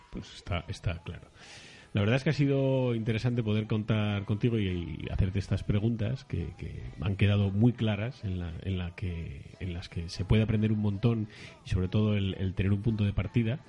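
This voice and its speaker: A man's voice: fairly low pitch, normal speed, dark tone, muffled recording. A man hosting an interview with casual questions and chat. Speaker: interview host.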